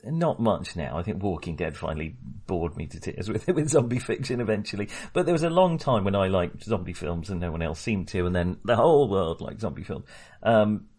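Man, podcast co-host. Low pitch, highly animated delivery, opinionated and critical, chatting casually about films. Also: brisk, slightly rough. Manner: opinionated, emphatic, bluntly critical. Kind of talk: casual film discussion.

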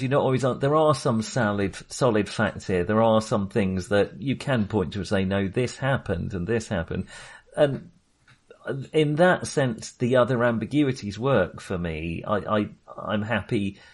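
A British man co-hosting a casual film discussion, speaking in a hesitant, rambling way and often interrupting himself. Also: medium pitch. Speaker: film podcast co-host.

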